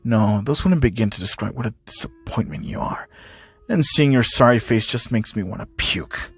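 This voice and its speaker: A man voicing a cruel, sneering villainous father who speaks in a deliberate, mocking way. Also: fairly low pitch, expressive, husky, voice pushed down. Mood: cruel contempt.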